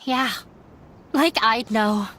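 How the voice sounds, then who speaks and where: A woman's voice: on the high side, drawn-out words, a bit bright, slight background noise. An actress playing a young woman theater performer and runaway daughter in a video game, confiding with sorrow and resentment. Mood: bitter, wistful. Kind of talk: dramatic video game dialogue.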